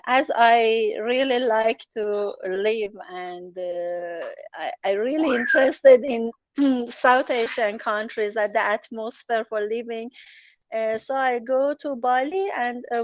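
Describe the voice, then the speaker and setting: Slow, hesitant woman, Farsi native speaker. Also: fairly high pitch, no room sound, poor audio. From Iran. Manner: hesitant, many fillers and restarts. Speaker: guest, journalist and travel writer. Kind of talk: interview answers.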